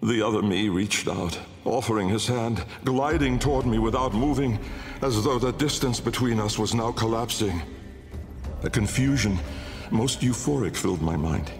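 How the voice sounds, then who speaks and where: An actor playing an old, haunted, learned nobleman-scientist in a video game, delivering a grave, measured gothic monologue with a gravelly voice. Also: lowish voice. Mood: haunted, frantic. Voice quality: gravelly, creaky. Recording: long cavernous reverb under music.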